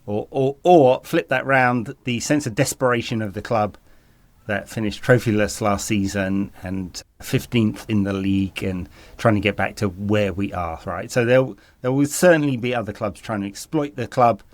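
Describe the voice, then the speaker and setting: A man's voice: lowish voice, moderate pace, dry recording. Chatty man with a slight cold. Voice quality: raspy. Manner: chatty, rambling, self-correcting. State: slight cold, a bit raspy. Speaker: podcast co-host. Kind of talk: casual football podcast chat.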